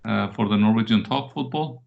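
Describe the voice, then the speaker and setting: A man's voice: on the low side, brisk, gravelly. A man interviewing a guest informally over a video call. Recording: dull, lo-fi online call audio.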